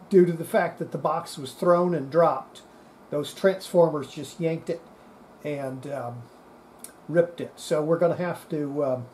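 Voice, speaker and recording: A man talks through a hands-on repair in an unhurried, halting way. His voice is mellow and a touch higher than average, often muttering to himself. There is faint noise behind him. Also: poor audio. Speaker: hobbyist restorer presenting a repair. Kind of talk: unscripted hands-on workshop demonstration. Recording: steady background noise.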